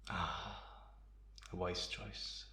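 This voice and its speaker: A man playing a calm, menacing male vampire in an ASMR roleplay, speaking in a deliberate, taunting way in a roomy, echoing space. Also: deep voice, exaggerated voice. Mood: amused, conceding. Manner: deliberate, softly chuckling, taunting. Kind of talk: ASMR roleplay, slow intimate monologue.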